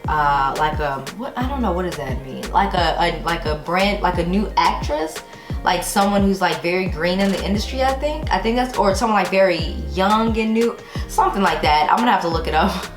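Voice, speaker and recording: An American woman in her thirties with a fairly low voice talks casually to camera. She is chatty and conversational, tossing in playfully emphatic asides. The room echoes clearly and music plays behind her. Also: fairly quick. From Texas, United States. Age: 30s. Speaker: YouTube vlogger. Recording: roomy echo with music behind. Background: background music.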